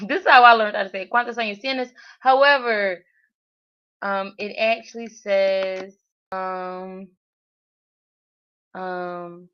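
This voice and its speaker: A woman talks her way through practice phrases, hesitant and self-correcting. Her speech is very slow and halting. The stream audio sounds dull and lo-fi. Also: medium pitch, clear, resonant tone. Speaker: Spanish learner streaming a daily challenge. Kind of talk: thinking aloud while working through a language app.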